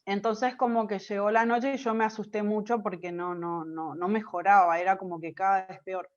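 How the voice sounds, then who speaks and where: A woman's voice: medium pitch, steady tone, normal speed. An Argentine woman sharing her own story in an interview, her speech full of small hesitations and restarts. Her voice sits in a middle register. The audio is a bit dull and muffled. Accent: Argentine Spanish. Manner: hesitant, many fillers and restarts. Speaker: interview guest. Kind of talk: personal testimony in conversation.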